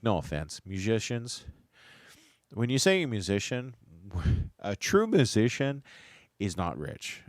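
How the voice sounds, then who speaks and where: A man's voice: low-pitched, clean tone, no echo, studio quality. A man hosting a casual solo livestream chat, sounding stuffed-up and a little nasal, rambling and chuckling as he loses his train of thought. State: stuffed-up nose. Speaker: livestream host.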